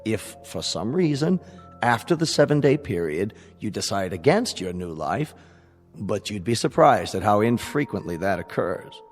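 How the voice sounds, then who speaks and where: An actor in a radio drama playing a smooth, courteous salesman with polished, businesslike patter. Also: lowish voice, sing-song, normal speed. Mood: smooth, reassuring. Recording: long hall reverb, music underneath.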